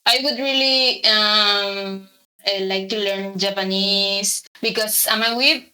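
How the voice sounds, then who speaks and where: A young woman with a Spanish accent, a native Spanish speaker from Latin America, chatting hesitantly as a guest artist in an interview, with many pauses and fillers. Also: medium pitch, fairly even intonation, bright, forceful tone, poor audio. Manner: hesitant, many ums, laughs lightly. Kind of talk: casual interview chat.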